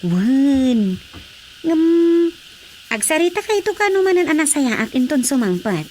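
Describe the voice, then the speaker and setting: A grown daughter in a radio play, explaining the family news in a high voice. A faint hum of night ambience sits behind her. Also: big pitch swings, unhurried, a little mellow, poor audio. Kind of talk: radio drama acting.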